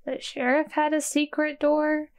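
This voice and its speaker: A woman's voice: sits high, level tone, a little slow, voice pushed up. A woman playing a wary, puzzled young girl adventurer in a tabletop role-playing game.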